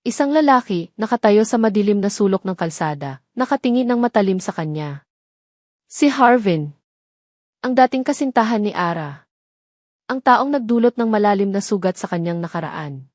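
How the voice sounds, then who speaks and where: A woman's voice: medium pitch, animated, normal speed, darkish tone, no room sound. Woman narrator, dry close sound. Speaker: story narrator. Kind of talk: scripted dramatic narration.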